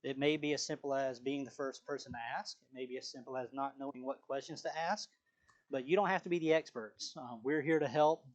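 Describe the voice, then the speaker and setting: A man's voice: medium pitch, fairly even intonation, a little fast, muffled recording. A man leading a live sales training on a video call, talking casually with frequent fillers and restarts.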